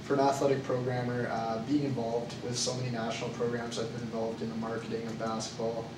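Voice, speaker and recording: Young male student as nervous job applicant, monotone and halting, recorded from across a noisy room. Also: mid-range voice. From Canada. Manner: hesitant, with frequent uh and um fillers. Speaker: job candidate in a mock interview. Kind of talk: student role-play job interview. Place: classroom. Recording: echoey room, far from the mic, noisy.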